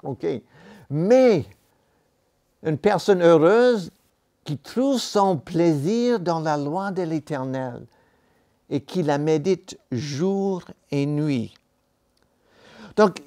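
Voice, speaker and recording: A man preaching a sermon in a church, a non-native speaker of the language, talking slowly and deliberately with pauses and self-corrections. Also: on the high side, dark tone. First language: not French.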